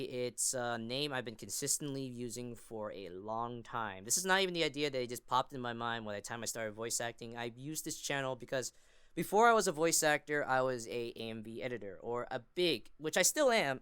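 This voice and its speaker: A man's voice: medium pitch, lively intonation, brisk, fairly bright tone, clean tone, no echo. A young man chatting casually as a podcast guest, rambling with a fan's enthusiasm. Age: young adult. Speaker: podcast guest, amateur voice actor and fandubber. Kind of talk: casual chat.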